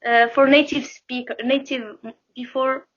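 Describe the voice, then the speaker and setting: A woman's voice: high voice, little pitch movement, a little slow, fairly bright tone. A young woman from Morocco with a Moroccan accent, a native Arabic speaker, chatting as a guest on a video call and laughing often between thoughts. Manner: laughs often, speaks with many fillers and restarts. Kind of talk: casual video call chat. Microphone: phone camera on video call. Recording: muffled lo-fi video call audio.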